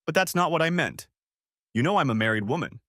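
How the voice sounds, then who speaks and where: Guy doing a caring lady neighbor, higher voice, earnest. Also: lively intonation, brisk, pushed and bright, no echo, studio quality. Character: friendly concerned woman neighbor.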